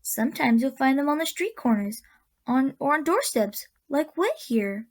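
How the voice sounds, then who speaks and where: A woman playing a kindly old woman of a magical land in a radio drama, speaking with gentle, genteel endearments. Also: sits high, expressive, moderate pace. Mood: warm, busy.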